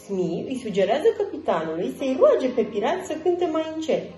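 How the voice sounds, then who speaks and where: A woman reading a children's story aloud in an expressive storytelling style. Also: high-pitched. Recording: roomy echo, faint music.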